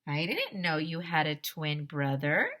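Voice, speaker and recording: A storybook school principal, played by a woman, calm and probing. He asks his questions deliberately in a bright, forward voice, with dry doubt. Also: low-pitched, exaggerated voice. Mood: questioning, skeptical. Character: adult male school principal, calmly questioning and skeptical. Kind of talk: children's chapter book read-aloud.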